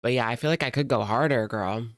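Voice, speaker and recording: A woman with a gravelly, fry-heavy voice talks through casual reaction commentary. She is sassy and slangy, and the sound is dry and close, with hardly any room on it. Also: very deep voice, quick pace, no room sound. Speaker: reaction channel host.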